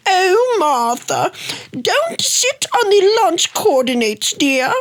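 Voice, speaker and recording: High, swooping stubborn old grandma, acted by a woman. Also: big pitch swings, slow pace, heavily acted. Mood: unfazed. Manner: breezy, theatrical, dismissive of worry. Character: unstoppable reckless old grandma inventor, cheerfully stubborn. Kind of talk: radio play acting.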